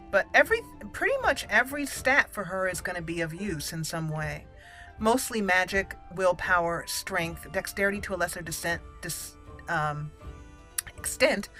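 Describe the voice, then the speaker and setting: A woman's voice: lowish voice, bright, forceful tone. A woman hosting a game playthrough, chatting casually and playfully as she thinks aloud. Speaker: gaming channel host.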